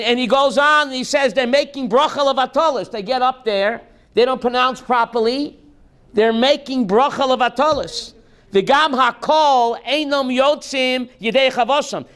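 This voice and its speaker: A rabbi teaching a class, reading text slowly and then explaining it in while quizzing his students. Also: extremely high pitch, fairly bright tone, smooth. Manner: reads Hebrew text aloud slowly, then explains in English, quizzing listeners.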